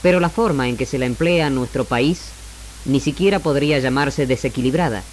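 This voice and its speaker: A man's voice: medium pitch, fairly quick, a little hiss or hum. A man reading scripted narration as a presenter on 1960s radio. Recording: archival radio recording with music behind. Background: music.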